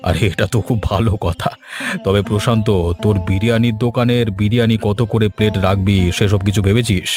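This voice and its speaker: A man performs an old village elder in a cartoon moral tale, pitched well down. He is genial and familiar, fond of teasing younger folk. Faint music plays behind him. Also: steady tone, a little fast, lightly acted. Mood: genial, inquisitive. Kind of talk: Bengali cartoon story voice acting.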